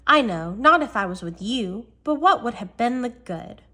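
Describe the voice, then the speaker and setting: A woman's voice: a little high, lively intonation, normal speed, sharp tone, voice pushed up. Woman reading as a young storybook girl, eager, tender, earnest, pleading.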